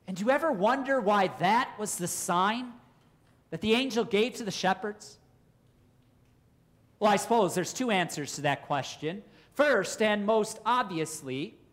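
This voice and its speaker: Pastor preaching, deliberate and emphatic. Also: male voice, high voice, a bit bright. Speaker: Lutheran pastor. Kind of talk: sermon with rhetorical questions. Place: church, at a pulpit indoors.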